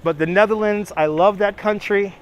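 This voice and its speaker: A man's voice: sits high, moderate pace, slight background noise. Chatty New York man streaming outdoors. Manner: chatty, reads chat names aloud, laughs often. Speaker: livestream host. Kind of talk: casual live-stream chat while walking. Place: outdoors on city streets. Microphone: phone on gimbal.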